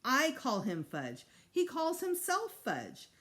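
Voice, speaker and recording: Earnest storybook big brother, voiced by a woman reader, schoolboy, direct, raised expressive pitch. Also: slow, full character voice. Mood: insistent. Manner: direct, questioning.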